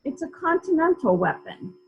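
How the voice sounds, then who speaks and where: A woman playing a brisk, authoritative police inspector, questioning in a measured, probing way in a murder mystery reading. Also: extremely high pitch, very animated, muffled recording, exaggerated voice.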